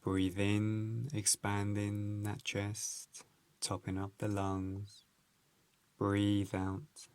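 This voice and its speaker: A man leading a guided meditation, giving calm instructions in a gentle, unhurried manner with measured phrases and pauses. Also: fairly low pitch, no room sound.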